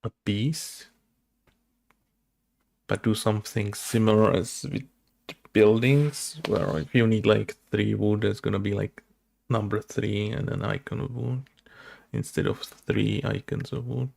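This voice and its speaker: A man talking casually to himself as he works through code, muttering in a halting, unscripted way, as a game developer recording his screen work. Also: fairly low pitch, steady tone, gravelly, a little mellow. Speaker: game developer making a tutorial video. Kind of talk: casual thinking aloud while coding. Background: keyboard typing and clicking.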